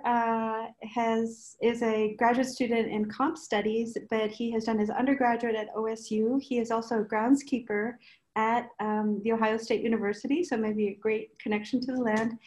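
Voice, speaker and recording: Woman host, hesitant intros, lots of ums, higher pitch. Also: darkish tone. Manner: hesitant, many "um"s. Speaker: project host. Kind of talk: introducing participants.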